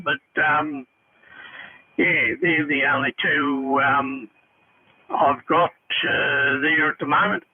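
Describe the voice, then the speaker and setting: A man chatting casually over ham radio as a hobbyist, hesitant and halting in his delivery. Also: fairly high pitch, a little hiss or hum. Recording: tinny band-limited radio link.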